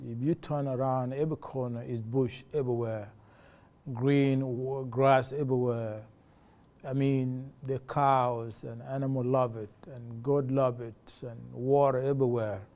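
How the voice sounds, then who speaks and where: Male interviewee, forties, South Sudanese accent; relaxed, dark timbre, halting delivery. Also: medium pitch, gravelly. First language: a South Sudanese language. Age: 40s. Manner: halting, many fillers and restarts. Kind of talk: personal testimony.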